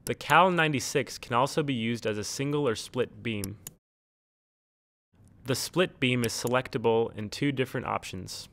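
A man reading a scripted instructional tutorial in a measured, neutral, step-by-step way. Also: medium pitch, gravelly, fairly bright tone, no echo. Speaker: tutorial narrator. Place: close, dry studio-style voice-over recording.

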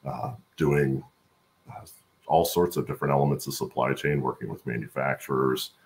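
Really deep gravelly american guy chatting as a guest on a video call. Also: fairly even intonation, normal speed, a little hiss or hum. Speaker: guest, supply chain executive. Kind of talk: conversational interview answers. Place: room at home on a video call.

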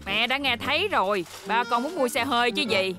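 A woman's voice: a little high, lively intonation, a little fast, natural voice. A woman voicing a firm, thrifty mother of young children in a cartoon dub. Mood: scolding. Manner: scolding the family, doting on the youngest. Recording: music behind the voice.

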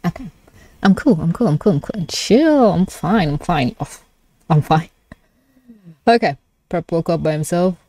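A woman streamer with a very lively, swooping delivery reacts live in a casual way. She sounds flustered and gushing, giggly and free with swearing, and the recording is dry and close. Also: lowish voice, unhurried, long pauses, dry recording. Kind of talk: live reaction with casual commentary and reading aloud.

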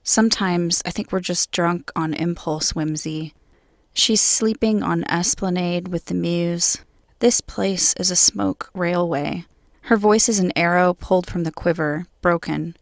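Woman, guest poet, poetry reading, lowish pitch, gravelly creak, measured and solemn. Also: no room sound, clean studio sound.

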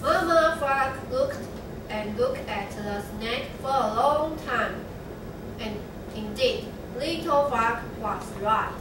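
A young woman narrating a children's story in a slow, measured readers' theatre reading. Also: on the high side, animated, noisy background. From Taiwan. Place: large classroom. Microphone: far camera. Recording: very echoey classroom from far off.

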